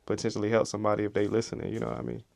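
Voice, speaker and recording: A man's voice: on the low side, completely flat delivery, rapid-fire, vocal fry, a little mellow, dry recording. A man guesting on a casual podcast, laid-back and reflective, often saying "man". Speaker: guest, rapper. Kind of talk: casual conversational interview.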